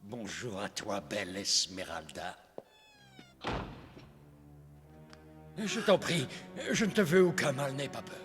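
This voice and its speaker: A man's voice: on the low side, expressive, moderate pace, natural voice. A man playing an obsessed, menacing, manipulative priest in a French cartoon dub, with theatrical, impassioned delivery that swings from coaxing to threatening. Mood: coaxing, pleading. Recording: long hall reverb, music behind.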